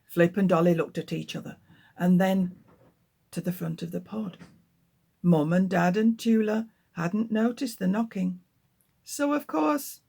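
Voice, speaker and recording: Husky woman author, expressive children's read-aloud. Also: medium pitch. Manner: lively, expressive storytelling. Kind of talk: reading her book aloud.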